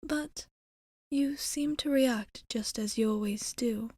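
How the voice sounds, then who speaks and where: A woman playing a gentle, protective female vampire in an ASMR roleplay, speaking softly and breathily with formal, old-fashioned courtesy. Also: a little high, takes it slowly, no echo. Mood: soothing, apologetic. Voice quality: soft, breathy, often whispering.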